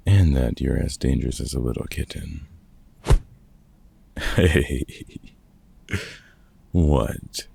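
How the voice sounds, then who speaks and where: A man acting out a gruff, sarcastic older vampire hunter who mentors with grumpy care, husky and dryly teasing, in an audio roleplay. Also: a bass voice, mellow, full character voice. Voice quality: gravelly, husky. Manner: dry, teasing, sardonic.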